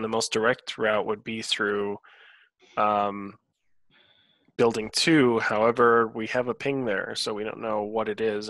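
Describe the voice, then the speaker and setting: A man's voice: on the low side, fairly bright tone, dry recording. Man gaming in a dry-sounding space. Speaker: roleplaying game player. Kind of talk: casual game chat.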